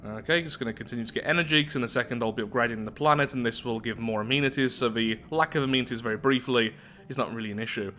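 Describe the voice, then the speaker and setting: A man's voice: medium pitch, rapid-fire, sharp tone. A man hosting a game playthrough, switching between reading story text aloud and casual thinking-aloud asides. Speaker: gaming video host. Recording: music playing behind the voice. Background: background game music.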